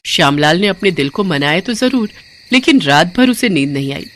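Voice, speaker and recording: A woman's voice: on the low side, expressive, moderate pace, a bit bright, slight background noise. A woman narrating a scripted cartoon story in a dramatic storytelling style.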